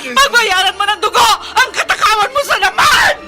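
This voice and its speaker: A woman's voice: extremely high pitch, expressive, normal speed, music in the background. A woman playing an anguished, vengeful, protective mother in an emotional radio melodrama. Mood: enraged, anguished. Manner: emotional, stern, declaiming. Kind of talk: Tagalog radio melodrama acting.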